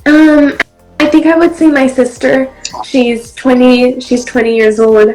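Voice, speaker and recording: A woman's voice: sits high, level tone, a little slow, a little mellow, soft background music, rough recording. A young woman from Brazil, whose first language is Portuguese, answering interview questions in a friendly, chatty way with many ums. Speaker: interview guest, actress and model.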